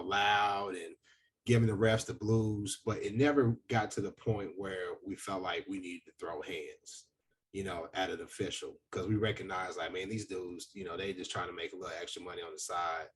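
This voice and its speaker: A man with a big booming voice, hosting a casual podcast discussion in an earnest, reflective way with frequent fillers. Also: fairly even intonation, moderate pace, distant mic, a little hiss or hum. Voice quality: deep, booming voice. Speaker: podcast host.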